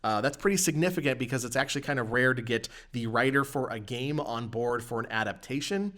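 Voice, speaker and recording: A man's voice: medium pitch, brisk, a bit bright. Male podcast host, mid-range voice, casual news-reading and chat.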